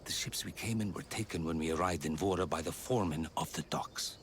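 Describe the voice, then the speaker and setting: An actor playing a cautious, serious leader of refugees in a video game, formal and deliberate. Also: male voice, deep voice, a little hiss or hum, close to an everyday voice. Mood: cautious, purposeful. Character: grave, cautious male refugee leader of ancient Greece. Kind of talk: video game cutscene acting.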